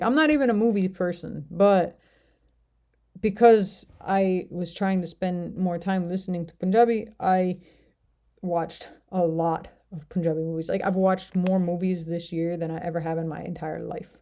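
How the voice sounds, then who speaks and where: A woman's voice: lowish voice, fairly even intonation, moderate pace, warm tone. An American woman vlogging casually, talking in a rambling, hesitant way with many fillers. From United States. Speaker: language learner vlogger. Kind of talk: casual talk to camera.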